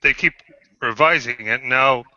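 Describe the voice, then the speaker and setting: A man in his fifties, a guest on an online panel, speaking haltingly with many pauses and restarts. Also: medium pitch, bright, forceful tone, no echo, dull audio. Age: 50s. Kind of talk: casual group chat.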